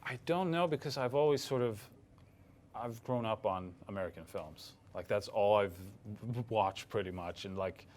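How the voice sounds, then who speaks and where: A man's voice: fairly low pitch, normal speed, a little mellow. A man from Sweden with a light Swedish accent, giving hesitant interview answers full of fillers and restarts. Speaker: film director.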